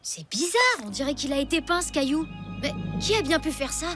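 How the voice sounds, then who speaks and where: A woman voicing an earnest, caring young prehistoric boy in a cartoon, with an expressive, emphatic delivery. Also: sits high, brisk, over music. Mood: indignant. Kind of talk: French cartoon dub acting.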